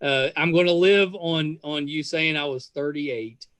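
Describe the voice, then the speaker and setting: A man's voice: fairly high pitch, measured pace, a bit bright, dry recording. A man in his fifties hosting a casual interview, chatty and self-deprecating as he tells anecdotes. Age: 50s. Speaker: podcast host.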